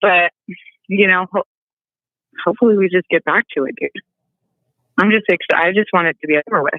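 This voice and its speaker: A woman, a model, who is a guest on a live interview and is upbeat and quick to laugh. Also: fairly low pitch, no echo. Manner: upbeat, giggly. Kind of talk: phone interview. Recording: tinny phone line.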